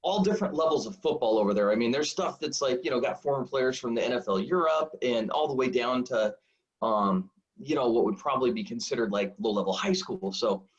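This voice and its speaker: A man in his forties, a high school football coach, telling stories in a rambling, self-deprecating way full of fillers. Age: 40s. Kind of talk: casual storytelling interview. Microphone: video call. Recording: dull lo-fi video call audio.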